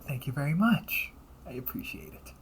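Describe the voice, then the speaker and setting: A man playing a wry, politely smug demon-hunting officer in an audio roleplay, speaking in a courteous, measured, teasing way with breathy asides. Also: fairly high pitch, animated, a little hiss or hum, natural voice, rough recording. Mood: smug, sarcastic. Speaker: amateur voice actor. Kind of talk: audio roleplay, one-sided dialogue addressed to the listener.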